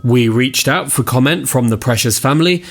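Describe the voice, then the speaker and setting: A man playing an earnest local reporter, narrating a scripted village news story in a measured way for audio drama. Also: mid-range voice, fairly bright tone.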